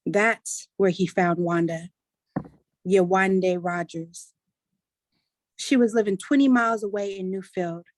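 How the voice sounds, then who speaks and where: A woman's voice: mid-range voice, no room sound, natural voice. Woman acting earnest adult daughter, close dry sound. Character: earnest adult daughter persuading her grieving mother. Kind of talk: staged play reading.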